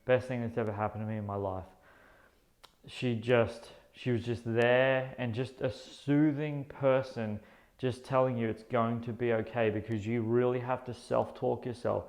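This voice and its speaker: A man in his thirties, sharing his own story in an earnest, halting way, often restarting his words, filmed indoors under LED lights. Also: mid-range voice, fairly even intonation, a little mellow. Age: 30s. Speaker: vlogger. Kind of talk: personal health vlog.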